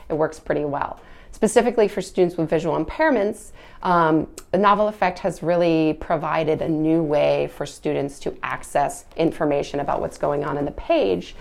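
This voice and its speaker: A woman hosting a children's read-aloud, speaking in a measured, expressive way. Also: low voice, creaky. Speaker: host reading a children's book aloud and answering questions. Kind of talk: read-aloud storytelling and informal explanatory talk.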